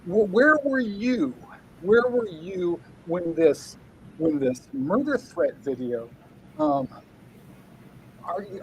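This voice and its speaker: High-voiced man in an angry, profane rant. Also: a little hiss or hum, low-quality recording. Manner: angry, profane, repeats himself, stumbling restarts. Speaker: guest writer.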